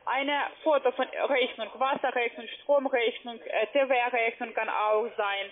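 A man working as a scam caller on the phone, halting and insistent, with broken grammar. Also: very high-pitched, steady tone. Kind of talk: phone conversation. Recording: tinny phone line.

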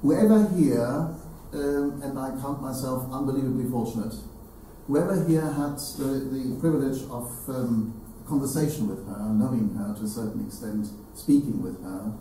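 An older man giving a formal tribute to an audience, speaking deliberately and haltingly, repeating phrases for emphasis. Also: mid-range voice, warm tone. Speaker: event speaker. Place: hall with seated audience, indoors. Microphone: distant camera. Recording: very echoey room, distant mic, slight noise.

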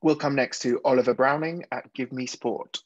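A man's voice: mid-range voice, fairly even intonation, moderate pace, no echo. A man makes short formal introductions as moderator. The sound is lo-fi and muffled, like a conference line, and quite dry. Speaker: press call moderator. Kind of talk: brief formal announcements. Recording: dull lo-fi conference-call line.

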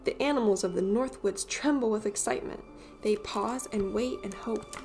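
A woman reading a children's picture book aloud as an expressive storyteller. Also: medium pitch, moderate pace, over music.

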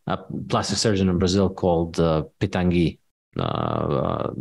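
A man in his forties from Palestine, an economist answering interview questions in a measured, matter-of-fact way, with frequent ums. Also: low-pitched, darkish tone. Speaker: guest, economist and author. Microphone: studio mic on video call.

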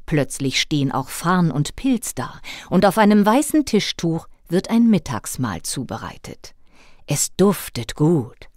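A woman reading a scripted children's story as narrator, with expressive storytelling delivery. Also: low voice, unhurried, dry recording, clean studio sound.

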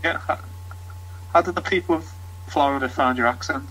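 Male interviewer, husky, bright tone, hesitant casual questions. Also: fairly high pitch, normal speed, low-quality recording. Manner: hesitant, starts questions with fillers.

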